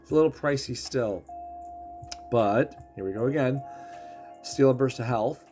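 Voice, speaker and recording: A man casually explaining a game character's abilities, throat rough as he is losing his voice. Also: medium pitch, a little slow, warm tone, soft background music. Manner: explanatory, walks through ability text. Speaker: game streamer.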